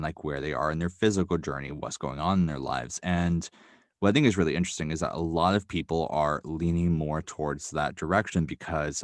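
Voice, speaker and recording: A man's voice: sits low, no echo, studio quality. A young man, a writer appearing as a podcast guest, rambling thoughtfully and using lots of "like" and "um". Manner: rambling, heavy on fillers like "like" and "um". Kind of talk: casual interview chat. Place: New York City.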